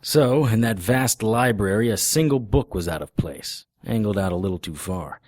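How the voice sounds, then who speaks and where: A man playing a wry, laconic private detective in a noir audio drama, with deadpan delivery. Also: lowish voice, normal speed, close to an everyday voice. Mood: dry, confiding.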